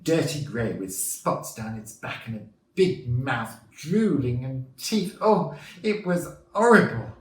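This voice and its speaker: Guy doing a flustered middle-aged woman witness from a kids book, emphatic, raised pitch, roomy. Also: animated, takes it slowly, far from the mic. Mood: horrified. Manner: dramatic, emphatic. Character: worried middle-aged local woman, shaken eyewitness.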